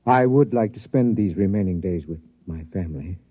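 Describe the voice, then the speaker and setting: Through a thin, vintage radio recording comes a solemn professor. His low voice is husky and measured, formal in every phrase, like a scientist delivering terrible news in a 1950s radio play. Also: expressive, narrow, tinny audio. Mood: somber, resigned. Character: grave scientist professor bearing news of doom. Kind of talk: 1950s radio drama acting.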